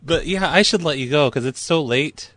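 A man's voice: fairly high pitch, moderate pace, a bit bright, dry recording. A man making a prank phone call, playful and teasing, keeping up a mock-earnest act. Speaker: prank caller.

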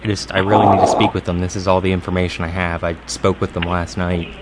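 A low-pitched American man hosts improvised prank calls. He talks casually and off the cuff, and there is clear noise on the recording. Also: flat delivery, fast. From United States. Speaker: prank caller, host. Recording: clearly noisy live call recording.

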